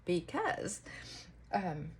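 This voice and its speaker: A woman vlogger talking in casual, rambling chit-chat, reflective and halting, often trailing off and circling back. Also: fairly low pitch, big pitch swings, slight background noise.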